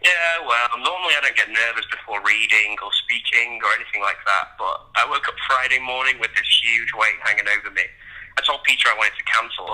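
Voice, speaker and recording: A wry bohemian male poet in an audio play, acted by a man. His mid-range voice is strikingly bright and forceful. He rambles candidly and mocks himself like a seasoned drinker. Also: mid-range voice, low-quality recording. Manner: conversational, self-mocking, candid. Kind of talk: naturalistic radio-play dialogue.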